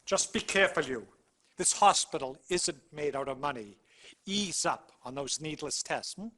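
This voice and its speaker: Suspicious finance officer, tight-fisted and scolding, raised pitch, sharp edge, game cutscene. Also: male voice, expressive, normal speed. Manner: curt, scolding. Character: suspicious, penny-pinching hospital finance officer. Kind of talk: live-action video game cutscene acting.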